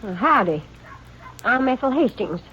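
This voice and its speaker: A prickly, penny-pinching spinster who runs a rooming house in a vintage radio drama. Her drawl is folksy and indignant, her pitch lively and swooping. The sound is dull and hissy like an old broadcast. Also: a little slow. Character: fussy spinster boarding-house owner, thrifty and suspicious. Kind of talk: 1950s radio drama acting.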